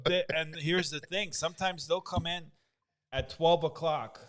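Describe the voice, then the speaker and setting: A man's voice: fairly high pitch, steady tone, fairly bright tone. Laughing casual male co-host. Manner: laughs often, quick asides. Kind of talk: casual chat.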